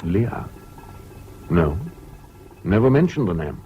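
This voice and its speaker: An actor with a British accent playing a frank psychiatrist in a 1970s radio drama, blunt and matter-of-fact. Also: male voice, sits low, very animated, slow, soft background music, heavily acted. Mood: frank, clinical. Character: blunt, frank male psychiatrist giving advice. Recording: dull, noisy old radio recording.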